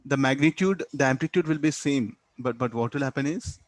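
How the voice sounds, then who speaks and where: An Indian-accented man gives a physics lecture in a medium-pitched voice. He works through problems didactically, putting questions to the class and answering them himself. The sound is dry, with very little room echo. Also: brisk. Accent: Indian English. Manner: didactic, asks and answers own questions, tags statements with "no?". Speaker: physics teacher.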